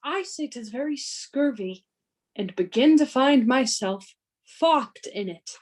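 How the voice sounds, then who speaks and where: A woman playing an aggrieved, gullible gentleman suitor in a Shakespearean radio play, indignant and petulant. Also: high voice, expressive, takes it slowly, heavily acted.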